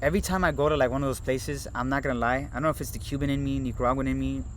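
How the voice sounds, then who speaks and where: A man's voice: mid-range voice, fairly even intonation, rapid-fire, slight background noise. A man in his early twenties from Miami, co-hosting a podcast in casual chat, hesitant and full of fillers and repeats. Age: early 20s. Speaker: podcast co-host.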